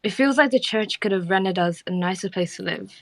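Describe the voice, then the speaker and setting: A woman's voice: medium pitch, fairly quick, close to an everyday voice. A British woman reading the part of a sleepy, blunt young woman, in a casual, unpolished way. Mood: cheeky. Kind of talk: script read-aloud on a live audio chat.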